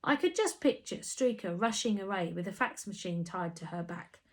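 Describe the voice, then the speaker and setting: Animated woman reading a children's story. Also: mid-range voice, moderate pace. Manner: animated, dramatic emphasis. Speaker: storybook reader. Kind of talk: expressive scripted children's story narration.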